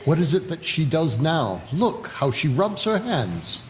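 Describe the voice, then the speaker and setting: A man's voice: on the high side, very animated, unhurried, a little hiss or hum, poor audio. A man playing a stage actor who declaims as a solemn, formal Shakespearean doctor in an audio drama. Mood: grave, curious.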